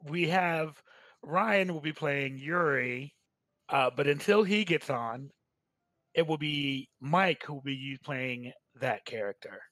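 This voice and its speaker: A man hosting a staged reading, talking casually and haltingly. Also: on the high side, fairly bright tone, no echo. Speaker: host of a staged game reading. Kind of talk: casual commentary and introductions.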